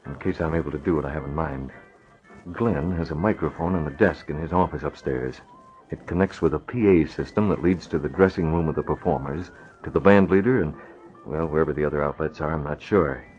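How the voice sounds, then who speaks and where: An actor playing a resolute, shrewd masked vigilante in an old radio drama. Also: male voice, a bass voice, brisk, darkish tone, faint music behind. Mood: low, plotting. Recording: dull old radio recording.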